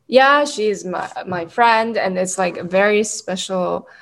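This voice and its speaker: A woman's voice: medium pitch, slow, vocal fry, fairly bright tone. A woman co-hosting a podcast, talking casually with many likes and ums. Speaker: podcast co-host.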